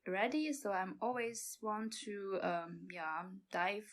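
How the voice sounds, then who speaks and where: A young woman from China with a Chinese accent, chatting casually and haltingly as she searches for words. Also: mid-range voice. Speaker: interview guest, amateur actor. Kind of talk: casual chat.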